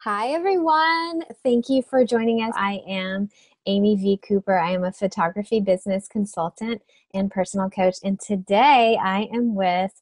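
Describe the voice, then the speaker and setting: Woman interviewer, gushing, complimentary, dry sound, mid pitch. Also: dry recording. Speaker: interviewer, photography business coach. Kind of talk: interview chat.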